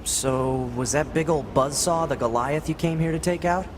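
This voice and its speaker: A man's voice: on the high side, normal speed, noisy background, natural voice. An actor playing an eager, friendly young male android scout in a video game, chatty and informal. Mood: breezy, helpful. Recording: loud music behind the voice.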